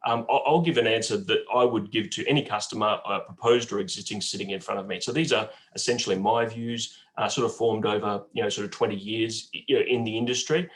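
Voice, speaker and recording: Man, croaky from a cold, lowish voice, halting with lots of ums, on a video call. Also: fairly even intonation, fairly bright tone, rough recording. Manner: halting, many fillers and restarts, candid. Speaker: bank manager guest speaker. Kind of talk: webinar talk answering questions.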